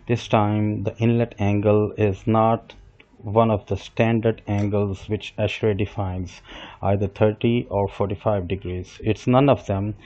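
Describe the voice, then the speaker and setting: Low mellow man explaining software steps. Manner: explains step by step while working, with small restarts and self-corrections. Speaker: software instructor. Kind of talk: screen-recorded software tutorial. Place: desk with computer, voice-over on screen capture. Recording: dull lo-fi voice recording.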